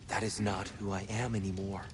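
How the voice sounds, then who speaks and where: An actor playing a weary, defeated old god in a video game, subdued and full of self-doubt. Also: male voice, lowish voice, flat delivery, brisk, big-room sound, noisy background, close to an everyday voice. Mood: weary, doubtful. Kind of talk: dramatic video game acting.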